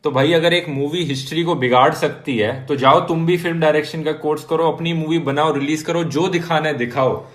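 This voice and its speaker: A man's voice: a little high, fairly even intonation, a little fast, few pauses. A man with an Indian accent giving informal opinion in a blunt, challenging way. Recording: noisy clip with clicks.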